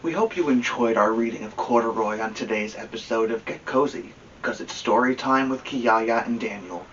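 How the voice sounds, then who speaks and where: A man's voice: medium pitch, moderate pace. Man reading a storybook, distant and muffled. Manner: expressive, talks to listener between story lines. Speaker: storytime host. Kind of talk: reading a children's picture book aloud. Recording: distant mic, dull lo-fi sound, faint noise.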